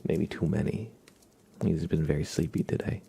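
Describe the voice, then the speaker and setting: A man hosting an ASMR livestream, chatting softly and gently and often slipping into whispers. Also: rumbling low, normal speed, vocal fry, breathy edge. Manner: gentle, hushed, drifting into whispers. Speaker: livestream host.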